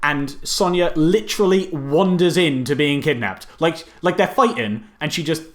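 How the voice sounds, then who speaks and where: A man hosting a film review podcast, talking casually in a sarcastic, halting, sweary way. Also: a little high. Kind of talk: casual comedic film critique.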